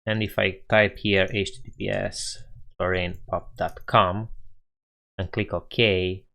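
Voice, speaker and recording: A man's voice: deep voice, little pitch movement, takes it slowly, gravelly, pushed and bright. A young man with a Romanian accent, casually chatting with viewers on a coding live stream, laughing often and thinking aloud.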